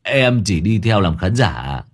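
A man's voice: fairly low pitch, big pitch swings, slow pace, slightly rough, exaggerated voice. A man voicing a cowardly chubby young man who stammers and whines. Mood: whining. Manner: stammering, whining.

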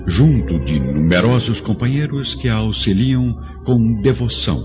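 A man narrates a dramatic radio play with measured, solemn delivery. His voice is very low. The sound is dull and lo-fi, with loud music beneath it. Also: expressive.

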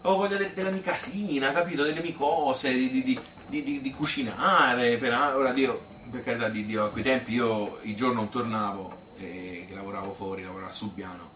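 A man with a Tuscan accent playing a chatty working-class woman who reminisces in a rambling, halting stage monologue. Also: medium pitch, steady tone, fairly bright tone, lightly acted. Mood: confiding. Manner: rambling, colloquial, halting with repetitions. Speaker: solo stage storyteller. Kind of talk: spontaneous-sounding theatrical monologue. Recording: roomy, distant, noisy live recording.

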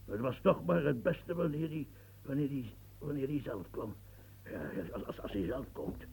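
A man's voice: medium pitch, animated, normal speed, vocal fry, darkish tone, breathy edge, full character voice. A man playing an aging, embittered French marshal in a radio play, stammering and repeating himself when agitated. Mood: bitter, worked-up.

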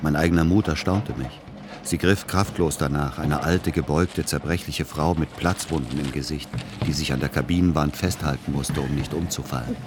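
Weary middle-aged son, deep voice, dry, stubborn, literary radio drama, noisy rumbling background. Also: male voice, normal speed. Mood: measured, dry. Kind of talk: literary first-person narration and radio play dialogue.

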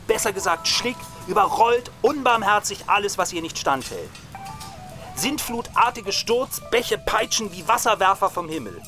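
A man's voice: high-pitched, bright, forceful tone. A man acting in a German radio drama as a cynical, hot-tempered radio reporter in his thirties, pompous and given to coarse cursing. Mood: pompous, dramatic. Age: 30s.

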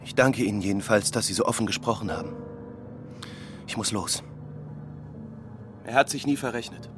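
Radio drama, male actor as exhausted grieving friend, deep subdued voice, terse delivery, loud music underneath. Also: normal speed, close to an everyday voice. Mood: bitter, restrained. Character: weary, grieving, sleepless friend of a dead physicist. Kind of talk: German radio drama acting with introspective narration. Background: prominent music.

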